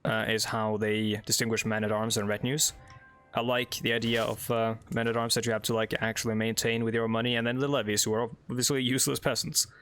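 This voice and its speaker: Chatty Norwegian guy, gravelly voice. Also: on the low side, a bit bright. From Norway. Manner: rambling, filler-heavy, chatty asides while reading game text. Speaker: let's play host. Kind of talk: casual gameplay commentary.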